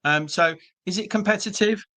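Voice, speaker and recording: A man's voice: high-pitched, normal speed, bright, forceful tone, no echo. A British man presenting an online webinar for a business school, chatty and reassuring with frequent ums and uhs, speaking over a video call. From Britain.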